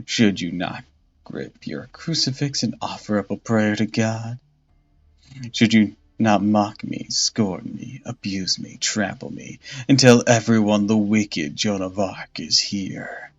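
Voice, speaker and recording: A man doing a cold, mocking vengeful saint. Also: fairly low pitch. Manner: slow, cold, mocking, theatrical. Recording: dull lo-fi audio with faint music.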